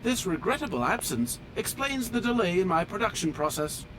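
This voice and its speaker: A man's voice: sits high, sing-song, normal speed, a bit bright, slight background noise, rough recording. A male actor voicing a fussy, polite automaton train engineer in a video game, with formal, precise, pedantic diction. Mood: prim, pedantic. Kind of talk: video game character dialogue.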